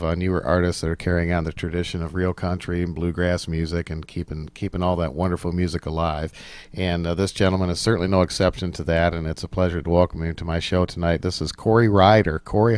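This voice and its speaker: A man's voice: low voice, level tone, fast, darkish tone, smooth, no room sound. A man hosting a country music radio show, with upbeat presenter patter and a few fillers.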